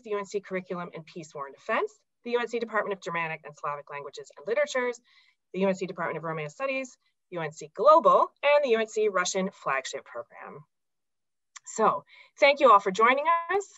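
A woman in her forties hosts an online talk, pausing often with fillers and restarts as she reads the introduction. Her voice sits in a middle range. The laptop audio is dry and somewhat dull. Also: medium pitch, lively intonation, a bit bright, no echo. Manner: hesitant, with many fillers and restarts. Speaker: event host introducing the speaker. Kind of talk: webinar introduction. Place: home office on a video call. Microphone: laptop mic on a video call.

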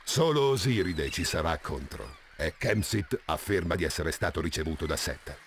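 Video game villain cyclops: deep voice, scheming and condescending, some noise behind. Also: male voice, lively intonation. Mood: commanding. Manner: deliberate, condescending.

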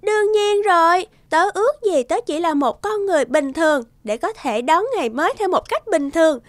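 A woman voicing a tiny cheerful girl fairy in an animated cartoon, childlike, exuberant and a little wistful. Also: extremely high pitch, normal speed, no echo.

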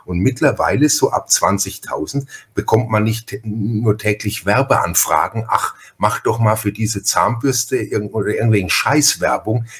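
A man hosting a casual podcast conversation, talkative, halting and often repeating his words. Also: on the low side, fairly bright tone. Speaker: podcast host.

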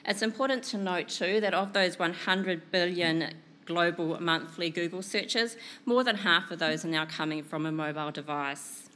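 Woman, 30s, New Zealand accent, conference presentation, lectern mic, bright tone. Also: on the low side, fairly even intonation, slight background noise. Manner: clear, measured delivery from notes. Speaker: conference speaker, PR consultant. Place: conference room, indoors. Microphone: lectern microphone.

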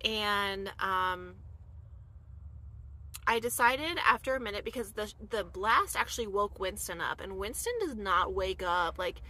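A woman in her thirties from the United States telling a personal story in a casual, animated vlog, full of "like" fillers, filmed inside a dark camper van at night. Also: medium pitch, a little slow, poor audio. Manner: chatty, animated, many "like" fillers. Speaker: vlogger.

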